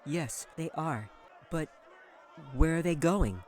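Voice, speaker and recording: A little girl from a fantasy storybook as a man acts her out, pitched far above the narrating voice. She sounds innocent, plaintive and full of questions, with faint outdoor bustle behind her. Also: expressive, slow pace, a little mellow, some chatter behind. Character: small girl, innocent, doubtful, polite. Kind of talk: fantasy audiobook character voice.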